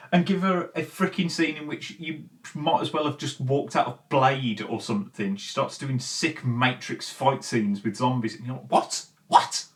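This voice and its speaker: A man's voice: mid-range voice, a bit bright. A man hosting a commentary show, talking in a casual, opinionated rant with emphatic, exasperated delivery and frequent swearing. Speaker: host of a film and game commentary show. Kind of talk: opinionated video essay rant, casual and conversational.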